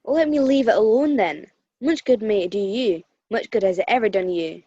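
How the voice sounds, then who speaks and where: A woman's voice: mid-range voice, moderate pace, mellow, no room sound, muffled recording, lightly acted. A woman playing a miserly, scornful old man in a radio play, with a curt, grumbling delivery. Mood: irritable, dismissive.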